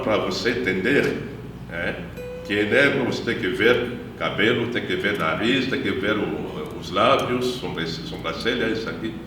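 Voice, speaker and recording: A man in his fifties speaks as a missionary priest, telling his story in a measured, reflective way. His voice sits very low. It rings through a strongly echoing room, with guitar music playing clearly behind him. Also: recorded from a distance. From Democratic Republic of the Congo. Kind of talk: personal testimony in an interview.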